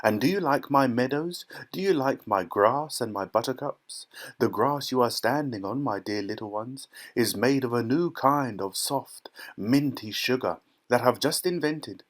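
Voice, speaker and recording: A man voicing a flamboyant, excitable eccentric factory owner for a children's storybook, exuberant and emphatic, like a showman. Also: mid-range voice, a little slow. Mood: gleeful showing off.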